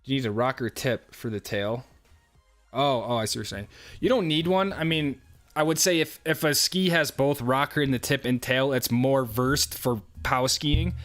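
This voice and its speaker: A young man with a New York accent, casually answering viewer questions on a livestream with a relaxed, rambling manner and frequent fillers. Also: medium pitch, moderate pace, soft background music. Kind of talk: casual live chat.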